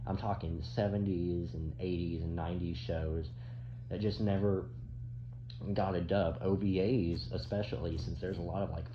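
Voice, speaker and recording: Low-voiced man griping over muffled audio. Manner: halting, repetitive, complaining. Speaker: reaction video commentator. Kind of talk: casual off-the-cuff commentary. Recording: dull mic with clear background noise.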